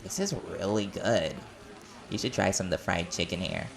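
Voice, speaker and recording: A man playing an easygoing, helpful young-sounding guest with a creaky voice in an audio drama. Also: low-pitched, animated, normal speed. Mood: casual, amused. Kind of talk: audio roleplay drama acting.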